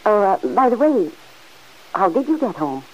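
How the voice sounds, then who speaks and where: An actress playing a gentle, worried older aunt in a 1940s radio drama, speaking softly and hesitantly. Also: female voice, medium pitch, big pitch swings, normal speed, highs cut off, faint music behind.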